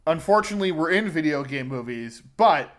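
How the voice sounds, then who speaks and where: A man's voice: on the high side, lively intonation, fairly quick. A man co-hosting a podcast, giving an informal, opinionated film review in a conversational way. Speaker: movie podcast co-host. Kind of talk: casual film review chat.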